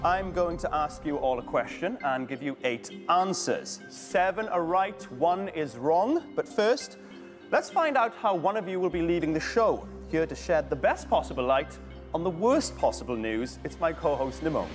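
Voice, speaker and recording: A man hosting a British TV game show, delivering scripted lines with cheeky deadpan jokes in a studio. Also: on the high side, normal speed. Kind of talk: scripted playful presenting. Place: large TV studio. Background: music.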